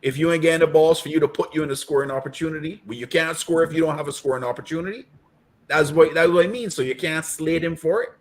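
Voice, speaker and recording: A man's voice: on the high side, quick pace. A man co-hosting a casual football reaction chat, arguing his point and repeating it. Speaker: football fan show co-host.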